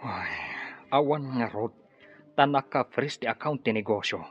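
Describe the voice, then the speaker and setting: An actor with an Ilocano Filipino accent playing an uneasy accomplice making excuses in a radio drama. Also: male voice, mid-range voice, unhurried, slightly rough, no room sound. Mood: defensive. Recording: dull, lo-fi radio drama recording.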